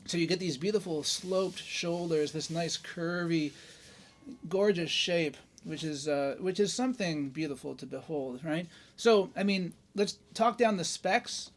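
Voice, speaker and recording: A man's voice: on the high side, measured pace. Chatty man reviewing a guitar. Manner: chatty, enthusiastic, many fillers and tag questions. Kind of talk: casual gear review.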